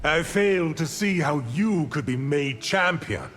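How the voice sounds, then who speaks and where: An actor playing an arrogant, menacing evil sorcerer in a video game, with haughty, deliberate, theatrical speech. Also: male voice, on the high side, expressive, a bit bright. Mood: mocking. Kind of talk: dramatic video game villain acting. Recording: long hall reverb, music underneath.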